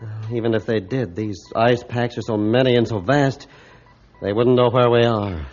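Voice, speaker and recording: A man playing a grim, realistic ship's captain in a formal, deliberate, old-time radio drama style. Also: mid-range voice, darkish tone, soft background music, lightly acted. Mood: grave, weary. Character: grim, realistic male captain of an icebound ship. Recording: dull, band-limited old radio recording.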